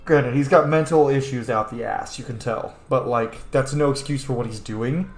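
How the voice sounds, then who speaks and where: A man's voice: mid-range voice, moderate pace. A man giving casual, blunt and profane commentary as he plays a video game. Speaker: gamer hosting a playthrough. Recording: roomy echo, faint noise.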